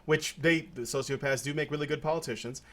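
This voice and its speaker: A man's voice: fairly high pitch, steady tone, fast, a bit bright. A man hosting a casual podcast, talking loosely with lots of restarts and fillers. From Puerto Rico. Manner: rambling, many restarts and fillers. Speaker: podcast host. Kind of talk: casual chat.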